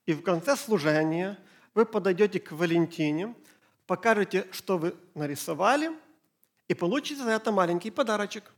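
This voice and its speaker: A middle-aged man, Ukrainian his first language, preaching a church sermon in short phrases with pauses for an interpreter. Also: high-pitched, animated. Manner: slow, halting German, pausing phrase by phrase. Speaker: pastor.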